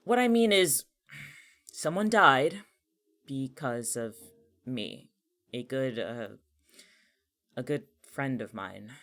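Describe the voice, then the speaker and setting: A man's voice: mid-range voice, expressive, slow, pauses often, fairly bright tone. Man acting as sardonic, exasperated young man. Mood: uneasy, guarded. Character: anxious, cynical atheist young man, jobless and superstitious. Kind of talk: naturalistic audio fiction dialogue.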